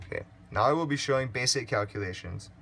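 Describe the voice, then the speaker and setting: A teenage boy slowly explaining worked physics calculations step by step for a school project. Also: mid-range voice, gravelly, fairly bright tone, a little hiss or hum. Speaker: student presenter. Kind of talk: read-aloud worked calculations.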